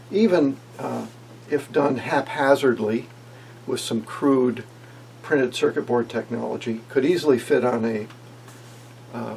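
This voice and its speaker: A man's voice: mid-range voice, gravelly, a little mellow, low-quality recording. A man in his fifties giving an informal technical explanation as a hobbyist host. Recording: faint background noise.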